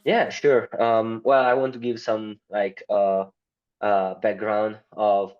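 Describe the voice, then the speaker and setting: Italian guy, deep voice, non-native English, slightly muffled sound; halting, filler-heavy answers. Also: level tone, faint music behind. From Italy. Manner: hesitant, many fillers, non-native grammar. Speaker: guest. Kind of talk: casual interview answers.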